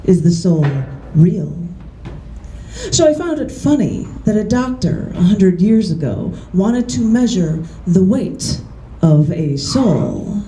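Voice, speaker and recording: A woman poet giving a live reading in a bookstore, reading in a measured, deliberate way with chatty, laughing asides between poems. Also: medium pitch, big pitch swings, mellow, a little hiss or hum. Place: large bookstore, indoors. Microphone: camera mic. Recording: echoey room from the camera.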